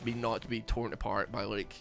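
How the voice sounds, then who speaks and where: A man's voice: fairly low pitch, level tone, fairly quick, vocal fry, over music. A Scottish man with a Glaswegian accent and a lisp, mumbling and rambling in casual chat while streaming a game. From Scotland. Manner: mumbling, rambling with many fillers.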